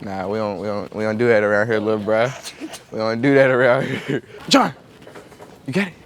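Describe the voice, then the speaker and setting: A man's voice: on the low side, fairly quick, a little hiss or hum. A young man chatting casually with strangers as a prankster vlogger in a store, playful and full of slang and swearing. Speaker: prank video creator. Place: inside a store.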